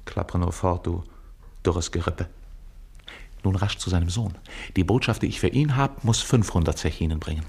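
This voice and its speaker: An actor in a German radio play playing a cunning, brazen servant, roguish and mocking, with a sly theatrical delivery. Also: male voice, sits low, normal speed, vocal fry, long pauses, a little mellow. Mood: sneering.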